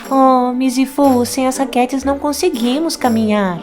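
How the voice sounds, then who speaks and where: A woman's voice: high voice, normal speed, over music, natural voice. A woman with a Brazilian accent voicing a cheerful, kind and confident young witch girl in a children's cartoon, in a playful, chirpy manner. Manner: chirpy, playful, chanting spells.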